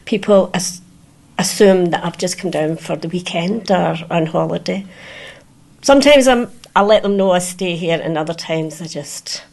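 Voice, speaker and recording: A woman's voice: fairly low pitch, normal speed, vocal fry, a little mellow. A middle-aged woman with a Scottish accent, answering interview questions in a light, slightly hesitant way.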